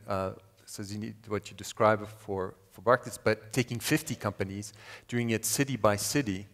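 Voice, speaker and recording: A man from Switzerland speaking as a panelist, measured and in long qualified sentences, at a conference. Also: fairly low pitch, a bit bright. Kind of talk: panel discussion. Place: conference hall. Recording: reverberant conference hall.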